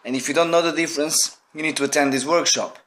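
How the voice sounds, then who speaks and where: A tired, hoarse man, a drum teacher, talking casually to camera in a vlog about his teaching day. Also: fairly high pitch, steady tone, fast talker, fairly bright tone.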